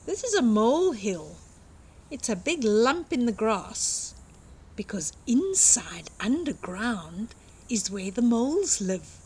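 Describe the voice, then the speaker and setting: A woman's voice: a little high, very animated, slow pace, a little mellow, breathy edge. An older woman reading a picture book aloud expressively to young children, with simple explanatory asides. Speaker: children's storytime reader and host.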